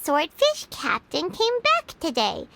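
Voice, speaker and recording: A woman voicing a tiny, childlike, excitable fairy companion in an anime game, squeaky and full of exclamation. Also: slow pace, vocal fry, heavy background noise, exaggerated voice. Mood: amazed, curious. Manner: squeaky, exclamatory, third-person self-reference.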